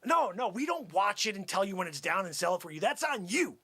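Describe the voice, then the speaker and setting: A man acts out a sleazy, boastful crypto cold-caller in an improvised comedy. His husky voice sits high and swings wildly, bright and forceful. He wheedles, repeats himself and brags without shame. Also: very animated, a little fast, exaggerated voice. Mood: frantic, shouting. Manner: brash, wheedling, repetitive, swinging between whispers and yells. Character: pushy, bragging, sleazy cold-call crypto salesman. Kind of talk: improvised comic sales patter.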